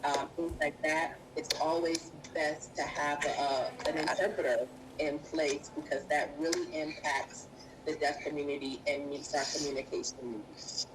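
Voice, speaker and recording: A woman interpreting sign language into speech for a deaf guest, in measured, halting phrases. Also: low voice, steady tone. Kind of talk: live spoken interpretation in an interview. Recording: noisy field recording.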